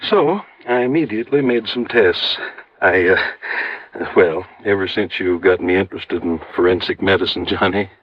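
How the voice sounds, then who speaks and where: A man's voice: low-pitched, moderate pace, no room sound. A man playing an earnest, precise doctor who turns medical sleuth in a 1950s radio drama. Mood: clinical, earnest. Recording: dry, dull old radio recording.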